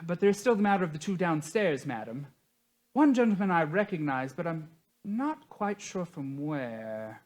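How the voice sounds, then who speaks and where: A woman's voice: fairly low pitch, very animated, normal speed, a little mellow. A woman playing a dutiful, formal household servant who reports deferentially to the mistress in a stage comedy. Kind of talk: theatrical stage comedy acting.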